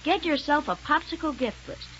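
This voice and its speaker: A woman delivering a scripted sales pitch in a 1930s radio commercial. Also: animated, normal speed, a bit bright. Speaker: advertising announcer. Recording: muffled, noisy 1930s radio recording.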